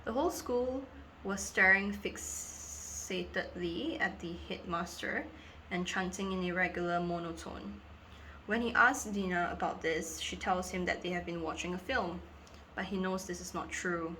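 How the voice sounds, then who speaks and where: A woman's voice: fairly low pitch, a little slow, bright, forceful tone, slight background noise, low-quality recording. A woman presenting acting scripts, reading the synopsis aloud to camera. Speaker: amateur actor presenting scripts.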